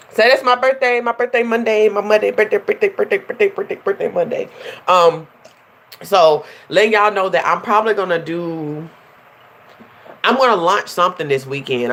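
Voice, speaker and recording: A woman talks straight to her livestream viewers in a chatty, animated way. Her voice is stuffy and nasal from a runny nose, with a slight hoarseness underneath. She keeps it loose and conversational, like a coach catching up with her followers. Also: lowish voice, a little fast. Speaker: life coach hosting a livestream. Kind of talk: casual livestream chat.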